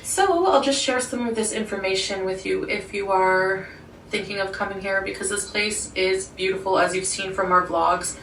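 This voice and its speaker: A woman's voice: medium pitch, moderate pace, a bit bright, reverberant, recorded from a distance, slight background noise, poor audio. A young woman from Ottawa talking casually to camera in a sit-down vlog, rambling a bit with lots of "like" and small laughs. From Ottawa, Canada. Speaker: vlogger.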